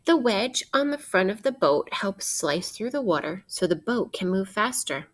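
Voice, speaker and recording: A woman teacher reading a children's nonfiction book aloud in an expressive, instructive way, addressing young listeners directly. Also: medium pitch, normal speed.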